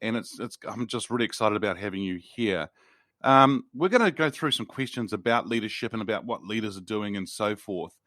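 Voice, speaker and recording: A man's voice: fairly low pitch, lively intonation, fairly quick, a bit bright, no room sound, studio quality. Upbeat man hosting a podcast. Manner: upbeat, enthusiastic welcomes. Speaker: podcast host. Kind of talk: podcast interview. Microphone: remote call recording.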